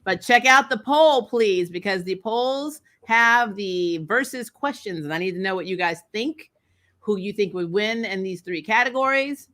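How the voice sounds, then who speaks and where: Female livestream co-host, bright forward voice, casual and rambling, talking straight to the audience. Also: medium pitch, animated, unhurried. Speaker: co-host of a fan debate livestream. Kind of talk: casual chat to livestream viewers.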